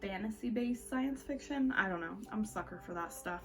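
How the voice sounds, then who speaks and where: A woman chats informally through a book review, in the manner of a video blogger. Her talk is conversational, with wry little asides, and her pitch sits in a middle range for a woman. Music plays faintly behind her. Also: animated, fairly quick, a bit bright. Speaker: book vlogger. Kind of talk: casual chatty book review.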